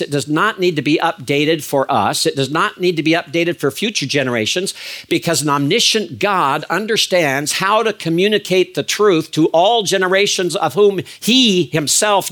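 An older man giving a lecture as a biblical Hebrew teacher, measured and emphatic, with frequent fillers and restarts. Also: on the high side, expressive, fairly bright tone. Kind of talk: recorded lecture.

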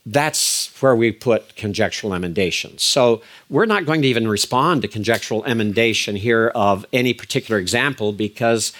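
An older man giving a lecture as a biblical Hebrew teacher, measured and emphatic, with frequent fillers and restarts. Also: medium pitch, fairly bright tone. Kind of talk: recorded lecture.

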